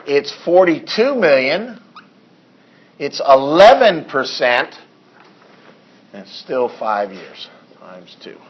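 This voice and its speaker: A hoarse male accounting instructor in a classroom lecture, reading figures deliberately and adding dry asides. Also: fairly high pitch, very animated, muffled recording. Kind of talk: classroom lecture working through problems.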